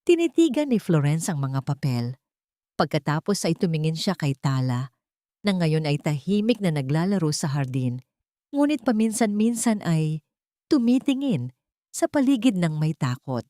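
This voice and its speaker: A woman reading a scripted dramatic story in a measured, solemn way. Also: low-pitched, sing-song, dark tone, no echo, clean studio sound. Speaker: story narrator. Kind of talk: scripted dramatic audiobook-style narration.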